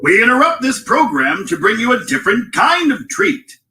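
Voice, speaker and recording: A man acts as a showy 1940s radio announcer. His voice is very high and bright, with huge swings in pitch. Faint crowd noise sits behind him. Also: big pitch swings, normal speed, faint music behind, heavily acted. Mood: proud, showy.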